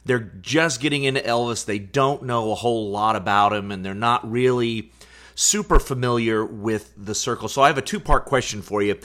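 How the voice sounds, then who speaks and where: Male radio interviewer, medium pitch, casual and conversational, feels his way into questions. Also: moderate pace, fairly bright tone. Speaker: radio show co-host, interviewer.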